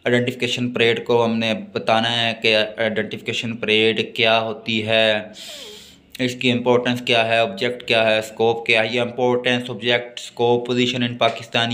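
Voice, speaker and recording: A man with a Pakistani accent lecturing on notes, reading aloud haltingly and then explaining. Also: mid-range voice, sharp tone. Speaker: law lecturer.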